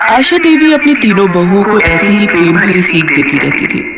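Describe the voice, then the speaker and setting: A woman's voice: mid-range voice, very animated, moderate pace, over a noisy crowd. Woman narrating a Hindi family story.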